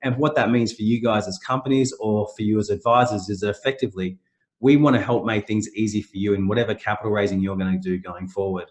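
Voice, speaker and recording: A man's voice: lowish voice, fairly even intonation, fast. A middle-aged man with a nasal voice from a sore throat, presenting a business webinar in loose, rambling speech full of restarts. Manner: loose, repetitive phrasing with many restarts and fillers. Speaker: company managing director presenting a webinar.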